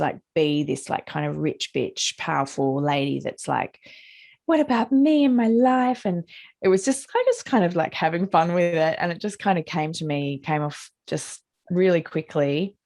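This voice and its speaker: Husky, gravelly Australian woman musician. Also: low-pitched, darkish tone. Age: middle-aged. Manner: rambling, many likes and restarts. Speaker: musician interviewee. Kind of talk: casual interview answers.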